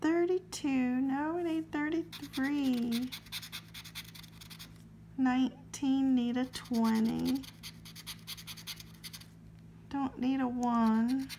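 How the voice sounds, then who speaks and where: Woman vlogger, high voice, unhurried, a bit hoarse, reads numbers aloud. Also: a little hiss or hum. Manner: friendly, calmly reads out numbers. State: slightly scratchy throat. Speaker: lottery ticket scratching vlogger. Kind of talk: casual to-camera chat while scratching tickets.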